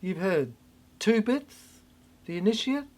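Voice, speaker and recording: An older person delivering a rambling, cryptic monologue in halting fragments, repeating phrases for emphasis. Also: medium pitch, very animated, darkish tone.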